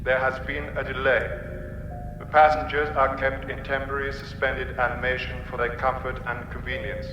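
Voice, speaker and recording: A man's voice: medium pitch, little pitch movement, normal speed, dull audio, close to an everyday voice. A man playing an inflexible spaceship autopilot, making polite, repetitive, officious announcements in a radio comedy drama. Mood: blandly officious. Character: bland, inflexible male spaceship autopilot computer. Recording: very echoey hall reverb, loud music.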